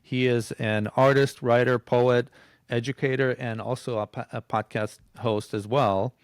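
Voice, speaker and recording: A man hosting a podcast, asking questions in a casual, somewhat halting way. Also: mid-range voice, steady tone, clear, resonant tone, dry recording, studio quality. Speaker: podcast host. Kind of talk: casual interview.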